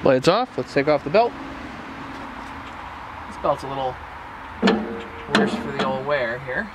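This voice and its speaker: A man's voice: mid-range voice, animated, a little slow. A man casually narrating a how-to while he works outdoors, talking himself through each step. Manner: chatty, mutters step by step. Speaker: DIY sawmill owner. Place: outdoors at a sawmill. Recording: loud outdoor noise.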